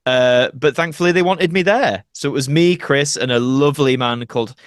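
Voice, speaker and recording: A man's voice: medium pitch, moderate pace, no echo. Chatty Yorkshire man in a dry recording. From Doncaster, Yorkshire, England. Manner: chatty, colloquial, rambling anecdotes. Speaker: actor, podcast guest. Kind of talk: casual interview storytelling.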